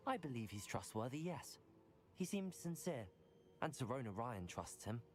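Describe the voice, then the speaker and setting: A man playing a calm, earnest teenage student wizard hero in a scripted video game. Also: mid-range voice, normal speed, faint music behind, lightly acted. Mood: earnest report.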